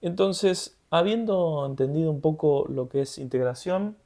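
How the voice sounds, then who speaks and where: A young man with a Rioplatense Argentine accent, co-hosting a podcast in a relaxed, chatty way. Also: a little high, measured pace, gravelly. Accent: Rioplatense Argentine Spanish. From Buenos Aires, Argentina. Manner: relaxed and conversational, laughs easily. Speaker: podcast co-host. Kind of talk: casual podcast chat.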